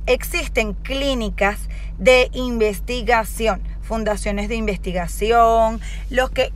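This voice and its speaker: A young woman with a fairly high voice talks into a phone held at arm's length in a parked car. She is chatty and hesitant and stops often for fillers. A faint engine hum runs under her voice. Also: fairly bright tone. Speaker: vlogger. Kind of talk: casual selfie-video chat.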